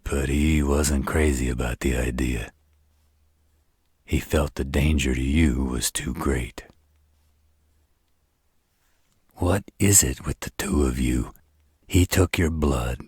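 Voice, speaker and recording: A man playing an arrogant, flirtatious vampire in a roleplay audio drama, with a husky voice and a smug, taunting delivery. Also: dry recording, clean studio sound, full character voice. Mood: smug, needling. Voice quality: very deep, husky, rough. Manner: smug, taunting, slow drawl. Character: arrogant, teasing, flirtatious adult male vampire. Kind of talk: roleplay audio drama monologue addressed to the listener.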